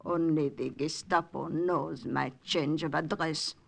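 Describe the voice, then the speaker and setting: A woman's voice: low voice, fairly even intonation, slow, gravelly, full character voice. An actress playing an imperious, shrewd older Frenchwoman with a haughty, commanding manner in a 1940s radio drama. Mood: indignant.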